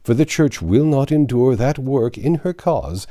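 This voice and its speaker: A man's voice: mid-range voice, animated, a little slow, warm tone, pitched above the performer's own voice, lightly acted. A man reading a storybook, voicing an anxious, insistent old medieval abbot who pleads in solemn, archaic speech. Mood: pleading.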